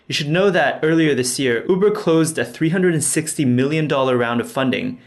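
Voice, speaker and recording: A man speaking as a podcast host, introducing the show and putting questions to a guest. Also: medium pitch. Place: sit-down interview in Shenzhen.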